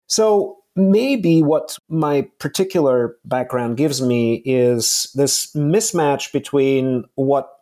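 A man's voice: mid-range voice, darkish tone. A man, answering interview questions in careful, reflective academic phrasing. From Bulgaria. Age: late 40s. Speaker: podcast guest, political science professor.

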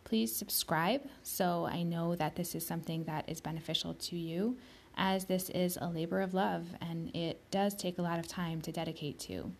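A woman hosting her own podcast, speaking slowly and deliberately, pronouncing each word carefully, sometimes dropping to a soft whisper. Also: on the low side, steady tone. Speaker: podcast host. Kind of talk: slow, careful spoken podcast introduction.

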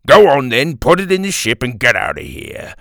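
A man voicing a gruff, bullying pirate crewman for a children's adventure audiobook, loud and bossy. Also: fairly high pitch, lively intonation, unhurried, no room sound, clean studio sound, voice pushed up, full character voice. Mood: gleeful, bossy.

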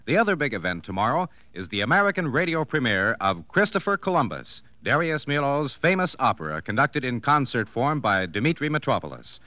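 A man's voice: mid-range voice, big pitch swings, a little fast, few pauses, sharp tone, no room sound. A man announcing on 1950s network radio in a polished, formal promotional style.

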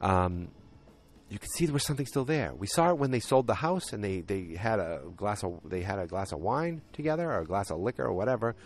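A man hosts a solo podcast and recaps an episode in a loose, rambling way, often correcting himself. His voice sits low but moves a lot in pitch, and it sounds a little worn from a recent concert. He records outdoors. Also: brisk. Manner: rambling, self-correcting, animated. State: voice deepened from singing at a concert. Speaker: podcast host.

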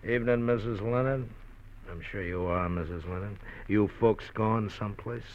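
An actor playing a smug, menacing crook in a vintage radio drama, mock-friendly and clipped, on a muffled noisy recording. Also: male voice, fairly low pitch, normal speed, natural voice. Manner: mock-friendly, threatening, clipped slangy talk. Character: menacing, smug male crook shadowing an old pal. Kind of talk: 1940s radio drama acting.

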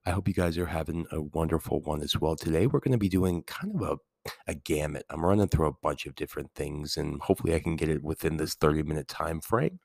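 A man with a very low, calming voice and a gravelly edge talks through his podcast in a loose, rambling way, full of fillers and restarts. He is getting over a cold. The sound is close and dry, with no room in it. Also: fast talker, dry recording. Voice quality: calming, soothing voice. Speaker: podcast host and teacher. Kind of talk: casual solo podcast talk.

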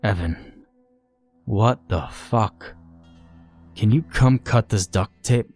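A man's voice: fairly low pitch, slow pace, a little mellow. Bewildered captive, voiced by a male narrator: blunt, foul-mouthed, incredulous, horror audio story with music bed. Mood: alarmed disbelief.

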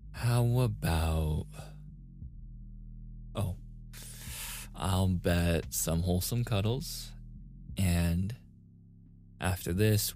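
A man's voice: low voice, gravelly, a little mellow, heavily acted. A man playing a playful, easily flustered young gamer boyfriend in a casual, intimate ASMR roleplay. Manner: casual, intimate, with chuckles and sighs.

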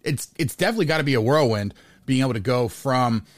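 A man talks casually as a sports podcast host. He rambles, doubling back on words and restarting thoughts. His voice sits at an average pitch in a dry, close sound. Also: expressive, fast talker, no echo.

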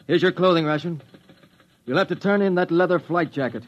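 Man acting a brusque, higher-pitched clerk. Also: a little fast, highs cut off, natural voice. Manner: curt, rigid, by the book. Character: brusque, humorless male bureaucratic clerk. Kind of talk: old-time radio drama acting. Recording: old radio recording with faint noise.

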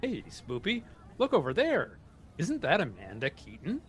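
A man playing a big, amiable radio reporter in a scripted comedy drama, with broad, expressive delivery. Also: a little high, unhurried, husky, full character voice. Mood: puzzled. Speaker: radio co-host.

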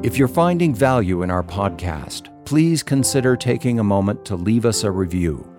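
A man's voice: fairly low pitch, lively intonation, normal speed, darkish tone. A man reading a scripted promotional message as an announcer, in a polished narrated style. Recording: guitar music bed behind.

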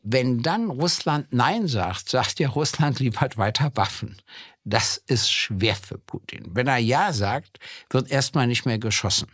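A man's voice: medium pitch, animated, measured pace, a bit bright, dry recording, studio quality. An older man, debating politics in a conversational way, explaining step by step with small hesitations. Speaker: podcast co-host, politician. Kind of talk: political discussion.

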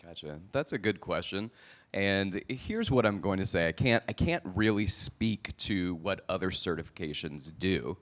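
A man's voice: low-pitched, expressive, normal speed. A man hosting a podcast live at a conference, speaking informally and jokingly to the people in front of him. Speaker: podcast host. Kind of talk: live presenting to an audience. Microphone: microphone.

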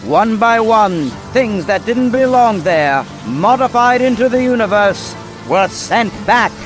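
A man playing the storyteller of an epic radio mystery, narrating with grave, dramatic, deliberate phrasing. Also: sits high, expressive, poor audio. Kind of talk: scripted old-time radio drama narration. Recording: music behind the narration.

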